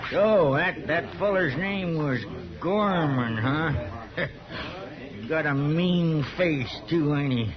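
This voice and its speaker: Old western drunkard: high, animated, folksy rural American, rambling, dull 1950s radio sound. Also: male voice, extremely slow, crowd noise. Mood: tipsy, folksy. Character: excitable, folksy old frontier drinker, pleading and fragile.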